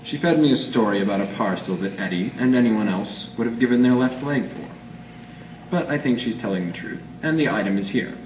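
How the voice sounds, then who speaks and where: A man's voice: medium pitch, animated, fairly quick, a little mellow, close to an everyday voice. A man playing a world-weary, cynical small-time detective in 1940s radio noir style, with wry, clipped hard-boiled slang. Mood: businesslike. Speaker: amateur stage radio-drama actor. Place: live performance in an art gallery. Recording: distant mic in echoey noisy room.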